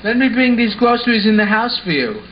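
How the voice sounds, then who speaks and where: Puppet show boy played by a man: high voice, polite and helpful, earnest delivery, slightly hissy and muffled recording. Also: big pitch swings, brisk. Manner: earnest, simply explaining. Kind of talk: amateur puppet show acting. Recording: muffled home recording with hiss.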